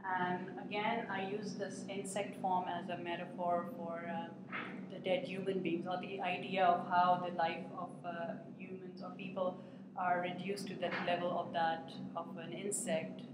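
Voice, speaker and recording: A woman's voice: on the low side, a little slow. Hesitant woman artist in an echoey room. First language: Urdu. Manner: hesitant, thoughtful, many filler sounds. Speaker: visual artist. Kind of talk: artist talk about her work. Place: art gallery. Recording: very echoey room with steady background noise.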